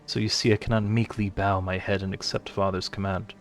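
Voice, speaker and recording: A man's voice: low voice, level tone, fairly quick, soft background music, lightly acted. A man playing a brooding, self-doubting young nobleman in a fantasy game, earnest and formal in delivery. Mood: anguished, resolute.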